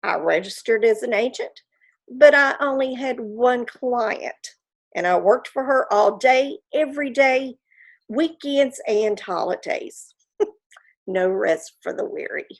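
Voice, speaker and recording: A woman's voice: on the high side, lively intonation, takes it slowly, heavily acted. A woman playing a proud, gossipy 1940s Hollywood stage mother in a theatrical monologue, chatty and self-satisfied, with confiding asides. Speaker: actor in a staged reading.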